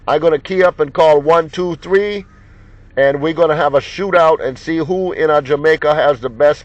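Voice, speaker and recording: A man working a CB radio as operator, repeating call signs in slangy, folksy, joking banter. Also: a little high. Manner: repetitive, jocular, folksy banter. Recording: muffled lo-fi sound with loud radio noise, clicks. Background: CB radio noise and clicks.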